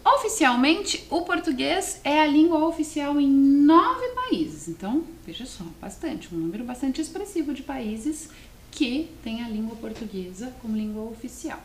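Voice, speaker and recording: A woman's voice: high voice, big pitch swings, moderate pace. A woman with a southern Brazilian accent teaching a class to students, explaining step by step and often asking questions. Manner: explanatory, often asks questions and adds checking tags. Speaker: language teacher presenting a televised class. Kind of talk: didactic lesson addressed to students. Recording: roomy echo, faint background noise.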